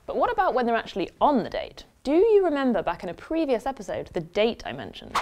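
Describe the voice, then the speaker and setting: A woman's voice: on the high side, huge pitch swings, fast talker, noisy background. A woman in her thirties presenting a scripted show in an upbeat, wry way. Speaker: host. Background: music.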